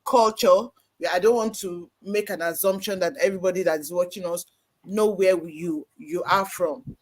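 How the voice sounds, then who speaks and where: Chatty church live-stream host. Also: mid-range voice. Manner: conversational, explanatory, with frequent "you know". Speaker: host, moderator.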